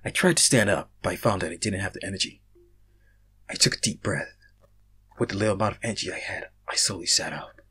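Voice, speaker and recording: A young man reading fan fiction aloud, casual in his chat and theatrical in his narration, swinging between hushed and loud. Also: deep voice, fast, slight background noise. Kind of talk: casual intro and dramatic story narration.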